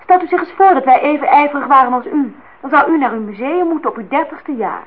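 Casting: young woman, fairly high voice, cheeky and unimpressed, radio drama on a narrow, hissy old recording. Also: expressive, fast talker. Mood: challenging. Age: young adult. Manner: pointed questioning, mocking formal address. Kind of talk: Dutch radio play acting.

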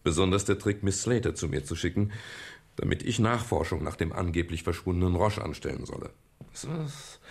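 An actor playing a calm, reflective private detective in a German radio crime drama, speaking in a measured, explanatory way with a gravelly, husky voice. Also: male voice, fairly low pitch, full character voice. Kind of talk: German radio crime drama, dialogue and first-person narration.

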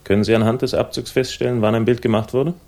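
A man's voice: medium pitch, flat delivery, fast, a little mellow, natural voice. A man acting in a 1960s German radio crime drama as a wry, laconic investigator, narrating and talking with dry irony. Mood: dry, probing. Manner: dry, ironic, literary phrasing. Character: wry, laconic male investigator narrating his own case. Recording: vintage radio drama, music behind.

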